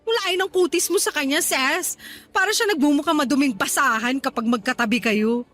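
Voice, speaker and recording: An actress playing a gossipy, catty young office friend in a radio drama, with a mocking, chatty delivery. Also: female voice, high voice, fairly quick, a bit bright, soft background music. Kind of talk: Tagalog radio drama dialogue.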